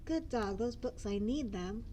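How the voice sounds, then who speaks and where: Woman as a high-voiced, earnest teenage heroine. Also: expressive, a little slow, warm tone, slight background noise. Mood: determined. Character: brave, bookish, kindly fifteen-year-old girl heroine.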